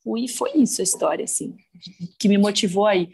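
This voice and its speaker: A woman's voice: mid-range voice, brisk, darkish tone, a little hiss or hum. A woman from Brazil, a guest on a podcast, telling a story in casual chat with many fillers.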